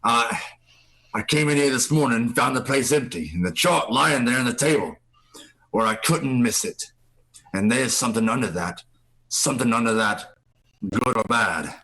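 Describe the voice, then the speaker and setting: An actor playing a wily old pirate ringleader in a live radio play, with gravelly, folksy seafaring speech. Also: male voice, mid-range voice, animated, normal speed, long pauses, pushed and bright, poor audio. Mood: sly, confiding.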